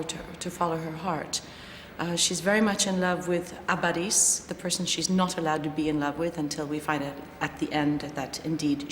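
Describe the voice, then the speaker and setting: A woman opera singer speaks about her part in an interview, choosing her words with care. Her speaking voice sits slightly low. The sound carries the long echo of a hall, with faint noise behind. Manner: thoughtful, articulate.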